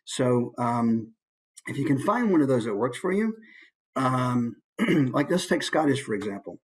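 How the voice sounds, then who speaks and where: A man's voice: mid-range voice, very animated, measured pace, breathy edge, low-quality recording. A man giving an informal instructional talk as a voice actor and coach. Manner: demonstrates points with brief put-on voices.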